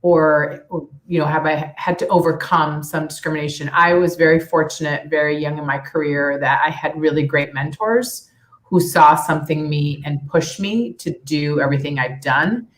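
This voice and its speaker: Low-voiced woman in an echoey room. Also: steady tone, moderate pace. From United States, raised partly in Peru. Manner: earnest, reflective, many restarts. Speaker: nonprofit leader, panelist. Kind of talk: panel discussion on a video call. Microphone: video call. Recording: echoey room on a video call.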